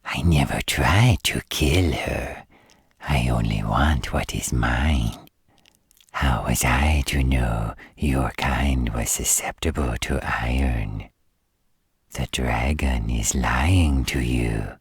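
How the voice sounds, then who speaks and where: A man voicing a sinister, possessive gremlin villain in a roleplay audio drama, in a raspy, manipulative whisper full of menace. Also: rumbling low, dry recording, studio quality, exaggerated voice. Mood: defensive, sly. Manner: slow, menacing, insinuating.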